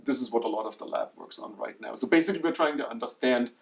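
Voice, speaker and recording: A man who is a scientist, explaining his lab's research in a measured way in an interview. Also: medium pitch, vocal fry, recorded from a distance. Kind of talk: documentary interview.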